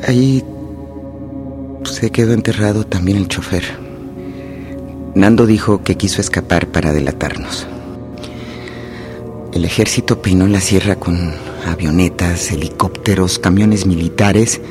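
A man acting in a radio play as a plain-spoken rural Mexican fugitive rebel, recounting his flight in a conversational, unhurried way. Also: low voice, music in the background. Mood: reflective. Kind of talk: radio drama monologue, first-person storytelling.

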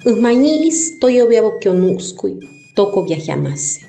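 A woman poet from Chiapas, Mexico, whose first language is Zoque, reciting her poems in a slow, measured way. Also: mid-range voice, very animated, creaky, warm tone, soft background music. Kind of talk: poetry recitation.

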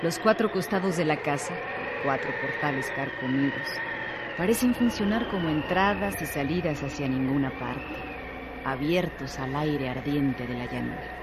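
A man acting as a grave storyteller in a radio play, his voice a little high. The delivery is measured and literary, set in very loud background noise. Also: natural voice. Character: male storyteller describing a desolate desert house. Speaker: narrator. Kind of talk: radio drama narration. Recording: very noisy track with ambience.

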